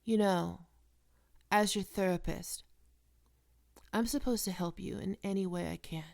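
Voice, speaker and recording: Female psychiatrist, played by a woman, ASMR roleplay. Medium pitch, measured clinical delivery, probing and quietly controlling. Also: steady tone, vocal fry. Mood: probing, insinuating. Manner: measured clinical questioning with deliberate pauses. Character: female psychiatrist, probing, smoothly manipulative and coercive. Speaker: voice actor performing a roleplay.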